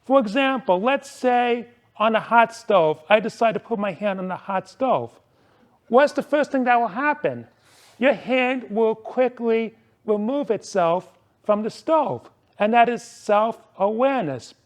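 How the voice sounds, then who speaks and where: A man in his forties giving a prepared motivational club speech, deliberate and emphatic, with rhetorical questions and repetition. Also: high voice, lively intonation. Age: 40s.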